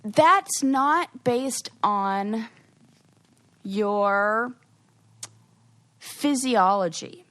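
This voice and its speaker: A woman's voice: a little high, extremely slow, pauses often, a bit bright, no room sound. Rambling woman podcast co-host. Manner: rambling, trails off mid-thought. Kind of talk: casual chat.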